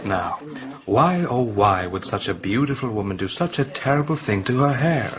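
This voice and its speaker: An actor playing a flattering, theatrical hairdresser, a smooth-talking charmer in a radio comedy drama. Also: male voice, medium pitch, normal speed, muffled recording, close to an everyday voice. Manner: gushing, theatrical.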